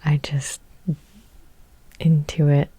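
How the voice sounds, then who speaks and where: Slow, breathy whispering woman. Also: sits low, flat delivery, dry recording. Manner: gentle, soothing, unhurried with long pauses. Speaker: hypnotherapist and ASMR host. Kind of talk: whispered ASMR guided hypnosis meditation. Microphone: close microphone.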